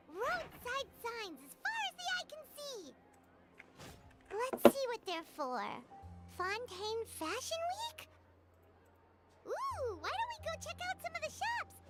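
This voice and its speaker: Squeaky little fairy buddy, super high and bouncy, talks about herself in third person, game music behind. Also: female voice, takes it slowly, full character voice. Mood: excited. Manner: chirpy, animated, refers to herself in third person. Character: tiny floating fairy companion, bubbly and excitable. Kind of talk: video game voice acting.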